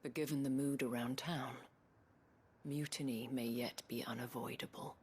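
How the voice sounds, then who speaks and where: An actress playing a worldly, anxious dame in a video game, measured, eloquent and weary, with a breathy, hushed delivery. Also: female voice, extremely low pitch, fairly even intonation. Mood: bitter, resigned. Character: worldly, anxious dame looking after her wards. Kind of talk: scripted video game drama.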